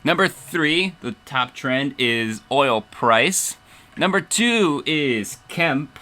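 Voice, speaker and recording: A man's voice: a little high, lively intonation, drawn-out words, sharp tone. A man hosting a casual livestream radio show as DJ, playful and rambling, with emphatic announcing.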